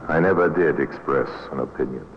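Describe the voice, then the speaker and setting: A man narrating as host of an old-time radio drama, grave and deliberate. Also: very deep voice. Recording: muffled old radio recording, reverberant, faint hiss.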